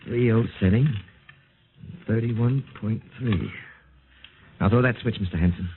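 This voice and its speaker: Formal probing army shrink, 1950s radio play, lowish voice, tinny vintage recording. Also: male voice, a little hiss or hum. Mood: hypnotic, commanding. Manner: measured, formal, methodical. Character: clinical, probing male army psychiatrist. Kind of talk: 1950s radio drama acting. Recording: muffled old 1950 radio broadcast recording.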